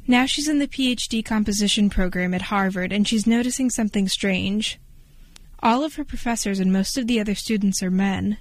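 A woman radio reporter delivering steady, measured scripted narration. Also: mid-range voice.

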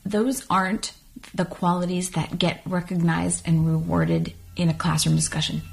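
Young female composer, soft voice, a bit low, gravelly fry, hesitant and reflective. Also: flat delivery, moderate pace, faint music behind, rough recording. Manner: thoughtful, hesitant, with fillers. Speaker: composer and doctoral student. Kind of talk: interview excerpt.

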